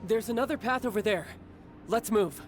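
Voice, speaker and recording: A man playing a confident, protective young older-brother warrior in a video game, smooth and theatrical. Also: sits high, moderate pace, soft background music, natural voice. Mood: urgent.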